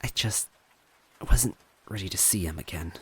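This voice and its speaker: A man voicing a grieving young toad girl, halting and emotional, in a story reading. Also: sits low, a little mellow, breathy edge. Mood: drained, grieving.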